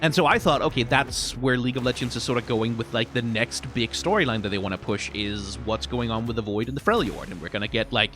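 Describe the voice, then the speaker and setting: A man's voice: mid-range voice, fast, hardly any pauses, a bit bright, soft background music. A man giving casual, off-the-cuff commentary as a streamer, rambling as he thinks aloud and often checking himself with a tag question.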